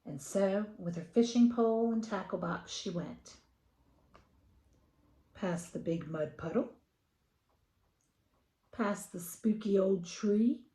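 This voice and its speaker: A middle-aged American woman hosting a children's picture-book readaloud, talking casually and reading with expressive emphasis. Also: mid-range voice, slow, pauses often, roomy sound.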